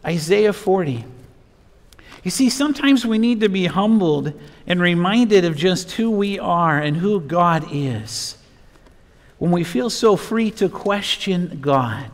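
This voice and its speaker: High-pitched preaching man in a reverberant hall. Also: expressive, slow. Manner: emphatic, rhetorical delivery in short phrases. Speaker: pastor. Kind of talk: expository sermon with Bible reading. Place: church sanctuary, at a pulpit. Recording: reverberant church hall sound.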